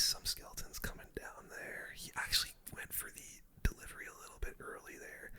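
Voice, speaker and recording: Asmr gamer whispering play by play into a close mic, super bright breathy sound, casual slang. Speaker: gaming streamer, commentator. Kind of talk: whispered ASMR gameplay commentary.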